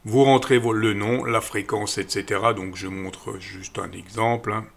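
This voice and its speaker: Man, middle-aged, low-pitched voice, calm, step-by-step tutorial voice-over, frequent fillers. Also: normal speed, creaky. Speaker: radio equipment channel host. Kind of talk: screen-recorded app tutorial voice-over.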